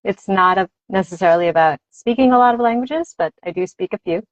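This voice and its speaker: A woman's voice: mid-range voice, brisk, dry recording. A woman who is a native Spanish speaker, explaining things conversationally as a teacher being interviewed, reflective and enthusiastic. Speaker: language teacher and advocate, interview guest. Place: dry close room on a video livestream. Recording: dull, lo-fi livestream audio.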